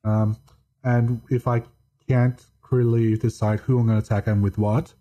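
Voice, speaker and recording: Deadpan monotone man, mellow voice. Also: medium pitch. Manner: halting, restarts often. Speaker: podcast guest. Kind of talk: casual chat.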